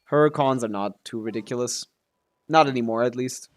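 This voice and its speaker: A man's voice: mid-range voice, normal speed. Casual male game streamer thinking aloud. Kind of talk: casual live stream commentary, answering chat questions.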